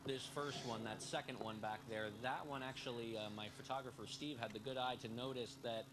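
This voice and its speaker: A man's voice: medium pitch, steady tone, brisk. A man working as a television field reporter, ad-libbing a live report from an outdoor roadside scene. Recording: clear outdoor traffic ambience.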